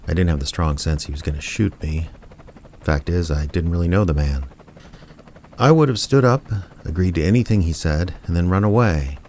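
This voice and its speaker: A man playing a wry, reluctant small-town amateur detective, narrating and talking in a deadpan way in an audio drama. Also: deep voice, normal speed, slight background noise. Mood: wry, reflective.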